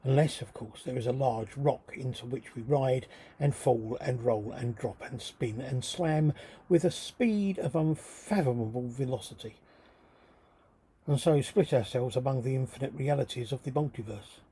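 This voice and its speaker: A man with a husky, rough-edged voice reading his own writing as a theatrical, brooding spoken-word monologue, delivered deliberately and dramatically. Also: medium pitch, mellow. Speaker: writer reading own work.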